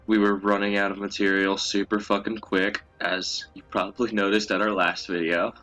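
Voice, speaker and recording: Young guy with a really low but bright voice, swearing a lot, casual storytelling chat, music behind him. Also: level tone, fairly quick. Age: young adult. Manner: crude, profanity-laden. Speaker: co-host.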